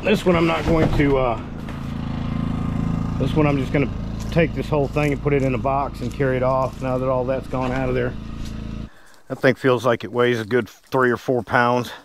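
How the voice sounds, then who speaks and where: A man's voice: mid-range voice, flat delivery, moderate pace, pauses often. A middle-aged man giving casual, unpolished running commentary as he works outdoors. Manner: folksy, unpolished, talking to himself while working. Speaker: wasp removal vlogger. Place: open barn and yard outdoors. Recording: loud engine-like vacuum and outdoor noise.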